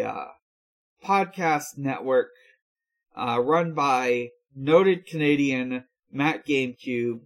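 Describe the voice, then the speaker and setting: A man hosting a podcast in casual talk, with a halting, hesitant delivery. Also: mid-range voice. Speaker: podcast host.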